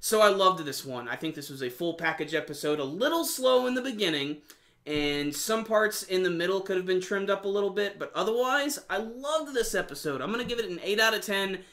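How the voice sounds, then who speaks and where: Bright, higher-voiced man reviewing anime. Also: animated, brisk. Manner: casual fan talk with slangy emphasis. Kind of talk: enthusiastic video review commentary.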